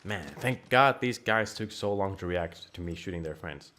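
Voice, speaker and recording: A man with a Finnish accent chatting and joking over a game at home, his voice jumping up when excited. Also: low-pitched, moderate pace, slight background noise. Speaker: game streamer. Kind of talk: casual game commentary. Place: home room.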